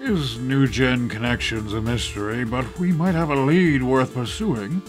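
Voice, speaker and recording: A man's voice: medium pitch, measured pace, faint music behind, lightly acted. Man playing a stern older police chief. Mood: grave briefing. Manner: matter-of-fact, authoritative. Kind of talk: audio drama acting.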